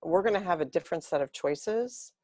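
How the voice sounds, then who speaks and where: A woman's voice: fairly low pitch, expressive, a little fast, warm tone, no echo, studio quality. A woman guest speaking earnestly and reflectively, building long flowing thoughts in conversation. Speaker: interview guest.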